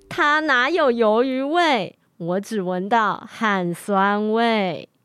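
A woman playing a caring, gently teasing mother in a radio drama. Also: on the high side, expressive, extremely slow, clear, resonant tone, dry recording, heavily acted.

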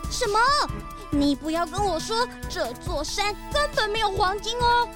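A woman's voice: very high voice, animated, moderate pace, music in the background. A woman voicing a small, sly hamster villain greedy for gold in a children's audio drama, mocking and brash. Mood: indignant.

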